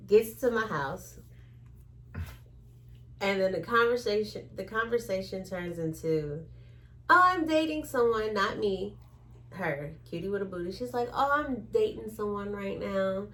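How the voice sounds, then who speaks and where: A woman's voice: mid-range voice, unhurried. A woman telling a story in a casual chat, acting out the quoted dialogue as she goes. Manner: animated retelling with acted-out quotes.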